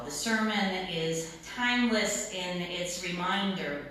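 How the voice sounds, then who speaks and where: A woman pastor giving a sermon in a church, phrasing it in a measured way with deliberate repetition. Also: lowish voice, a bit bright. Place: church sanctuary. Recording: echoey church, distant mic, faint noise.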